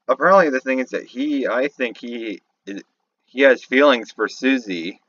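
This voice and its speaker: Guy rambling through game theories off the cuff, halting, dry muffled lo-fi mic. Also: medium pitch, fairly bright tone, no room sound. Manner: rambling, halting, thinking aloud. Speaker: YouTube commentator. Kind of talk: casual off-the-cuff game lore analysis.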